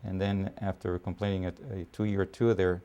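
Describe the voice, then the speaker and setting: A man in his fifties from Puerto Rico, raised in New York, speaking as an army officer in a documentary interview, measured with frequent pauses and fillers. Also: sits low, little pitch movement, warm tone, smooth. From Puerto Rico, raised in New York City. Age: 50s.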